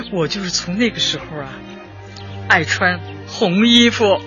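An actress in a radio drama playing a brisk, cheerful older female community party secretary, chatty and emphatic as she instructs. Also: medium pitch, wildly swooping pitch. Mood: cheerful, reminiscing. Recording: dull audio with music behind. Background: background music.

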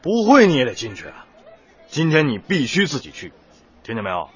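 An actor playing a caring but pushy young male teacher in a radio drama, brisk, blunt and urging. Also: medium pitch, wildly swooping pitch, breathy edge, dull audio, full character voice.

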